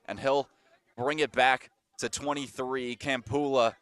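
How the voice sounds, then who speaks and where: A man calling play-by-play for a college soccer match, with a slightly hoarse voice, rattling off the action and getting louder and higher when attacks build. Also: fairly even intonation. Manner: rapid running call that jumps up in pitch and volume on attacks. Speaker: play-by-play commentator. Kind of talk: live soccer play-by-play commentary.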